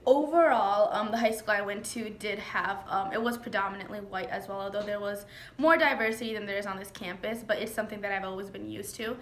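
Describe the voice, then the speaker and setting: Young female freshman, matter-of-fact, medium pitch, roomy sound. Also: a little fast, fairly bright tone. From Michigan. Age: young adult. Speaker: student interviewee. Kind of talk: casual interview answers. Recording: echoey room with faint noise.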